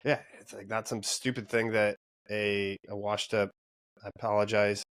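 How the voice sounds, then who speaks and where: A man's voice: lowish voice, little pitch movement, moderate pace, fairly bright tone, clear, resonant tone, dry recording. A man hosting a podcast in casual conversation, informal and opinionated. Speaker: podcast host. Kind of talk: casual chat.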